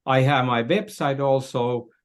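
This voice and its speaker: Older Finnish-accented man, halting talker. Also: medium pitch. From Finland. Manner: halting, searching for words, repeats phrases. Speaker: interview guest, business strategist. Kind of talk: conversational interview, explaining and telling anecdotes.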